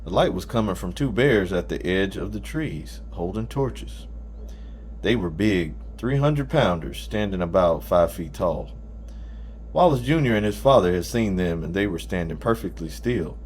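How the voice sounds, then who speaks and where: A man's voice: sits low, expressive, moderate pace, darkish tone, slight background noise. A man reading a first-person story aloud as an audiobook narrator.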